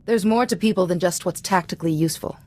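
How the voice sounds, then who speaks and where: An actress playing a calm, principled Jedi diplomat in a video game, offering composed, gently admonishing counsel. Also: female voice, on the low side, natural voice. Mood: calm, advising. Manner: composed, measured wording, advisory. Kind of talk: video game character dialogue.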